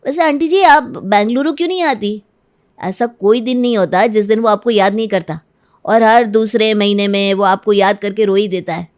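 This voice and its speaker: A woman's voice: mid-range voice, moderate pace, a little mellow, natural voice. A woman voicing a friendly young man, a family friend in a cartoon story, polite and persuasive. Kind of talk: Hindi cartoon story acting.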